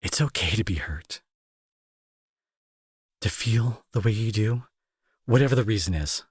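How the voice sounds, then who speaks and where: A man playing a remorseful, protective bully with a grieving streak, in an intimate dramatic audio roleplay with halting, earnest delivery and a husky, breathy voice. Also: fairly low pitch, lively intonation, no echo, exaggerated voice. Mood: earnest, consoling. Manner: halting, emotional, earnest pleading. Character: angry male bully turned remorseful, protective, grieving brother.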